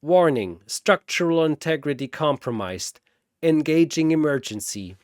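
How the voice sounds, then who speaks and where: A curious male android acted by a male narrator, pitched a bit high. He is polite, analytical and formal, picking each word precisely in a dry story recording. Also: lively intonation, a little slow, no echo. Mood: failing.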